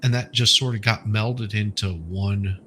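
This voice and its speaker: Low, bright-toned Southern man, casual host, stop-start delivery. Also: fairly even intonation, a little hiss or hum. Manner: halting, repeats words as he starts sentences. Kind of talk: casual chat.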